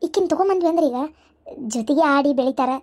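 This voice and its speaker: A woman plays a soft-hearted grandmother in a cartoon family drama. Her voice is high, and she talks in a hesitant, coaxing way. The accent is North Karnataka. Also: fast talker, close to an everyday voice. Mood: coaxing, earnest.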